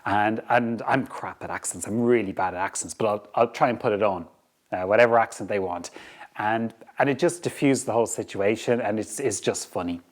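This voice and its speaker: A man's voice: lowish voice, a little fast. A man with an Irish accent hosting a motivational talk on leadership, earnest and repetitive, often checking in with "okay?". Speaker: podcast host.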